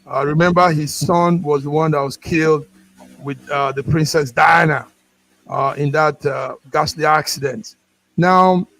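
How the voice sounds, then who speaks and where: A man hosting an unscripted news discussion, halting and often repeating words. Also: a little high, fairly bright tone, no echo, low-quality recording.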